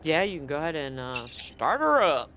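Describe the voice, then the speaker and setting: A man narrating as game master in a tabletop game, relaxed and full of fillers. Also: fairly high pitch, normal speed, a little hiss or hum.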